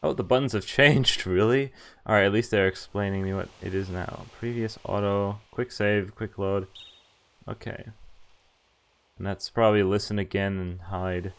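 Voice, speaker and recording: A man streaming a visual novel, reading its text aloud and chatting in a halting, thinking-aloud way. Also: fairly low pitch, fairly even intonation, fairly bright tone, clear, resonant tone.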